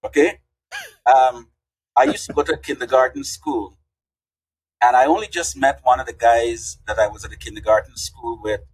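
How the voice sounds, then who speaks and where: A man's voice: medium pitch, normal speed, slightly rough, poor audio. An older man, telling folksy stories as a podcast guest. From Guyana. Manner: animated, folksy storyteller. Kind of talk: casual interview storytelling.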